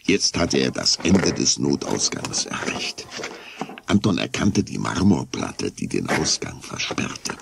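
A man's voice: a bass voice, very animated, slow pace, vocal fry, noisy background. A man narrating a scripted children's story as the narrator of a radio play.

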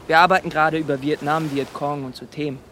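An actor playing an eager, awkward teenage boy, halting and colloquial, in a German radio play. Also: fairly high pitch, fairly even intonation, pushed and bright, a little hiss or hum.

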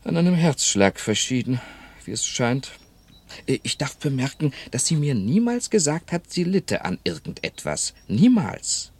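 A man's voice: medium pitch, sing-song, measured pace, darkish tone, breathy edge. An actor playing a talkative, gossipy elderly man in a radio drama, chatty and theatrical, quoting others vividly. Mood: wistful, confiding. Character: talkative elderly Frenchman, gossipy, fond of late neighbour.

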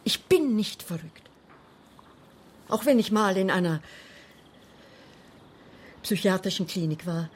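An actress playing a lonely, anxious, defensive woman in a radio crime drama, speaking haltingly yet insistently. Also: mid-range voice, animated, husky. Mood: agitated, defensive.

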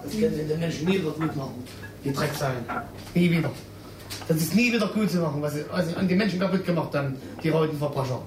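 Angry middle-aged guy, bitter cursing, highish voice, agitated, far from mic. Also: fast. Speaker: father recounting his family's loss. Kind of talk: angry personal outburst. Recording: distant, echoey, noisy location recording.

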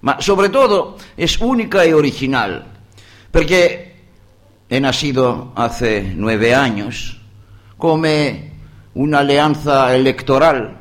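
A man with a Greek accent, a native Greek speaker, giving a speech at a political conference in slow, halting, improvised speech with frequent pauses, rising to emphatic bursts on key words. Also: mid-range voice, expressive.

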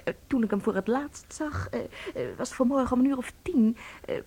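An actress playing an anxious, flustered, emotional young wife in a radio play, breathy and halting. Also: female voice, on the high side, mellow. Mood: distraught, confused.

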